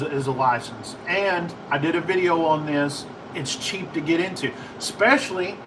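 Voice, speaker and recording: A distant-sounding microphone picks up an American man over clear background noise. His voice sits a little high for a man. He talks like a hobbyist livestreamer, informal and halting, wandering through his answers as he goes. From United States. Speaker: livestream host, ham and CB radio hobbyist. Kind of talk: casual livestream chat answering viewer questions.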